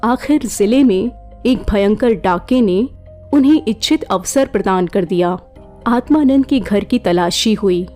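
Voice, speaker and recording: A woman narrating a classic short story in a measured, dramatic storytelling manner. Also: medium pitch, expressive, darkish tone. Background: faint background music.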